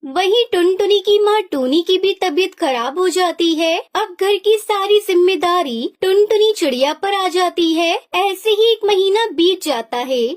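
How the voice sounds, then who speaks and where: A woman's voice: very high voice, lively intonation, a little slow, hardly any pauses. A woman storyteller reading a scripted children's story as narrator.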